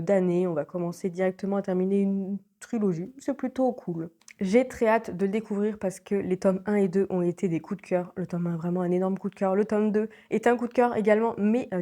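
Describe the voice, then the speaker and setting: A young French woman talks to camera from her home with a congested voice from a cold. Her tone is mellow and dark rather than bright, and she rambles cheerfully, with frequent fillers and little laughs. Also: mid-range voice. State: stuffy nose from a cold. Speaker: book vlogger. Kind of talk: casual chat to camera. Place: at home, indoors.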